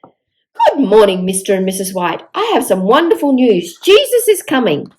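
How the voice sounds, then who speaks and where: Woman reading as courteous, lively town mayor. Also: on the high side, moderate pace. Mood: excited announcing. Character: courteous, excited male town mayor in a children's storybook.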